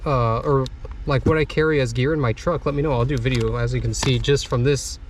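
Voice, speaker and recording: A young man talks his viewers through a truck radio install in a relaxed, chatty way, often pausing on "uh". His voice sits at an ordinary middle pitch and is picked up by a camera mic that drifts nearer and farther. Faint background noise sits under it. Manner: relaxed and chatty, many "uh" pauses. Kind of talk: casual how-to vlog narration.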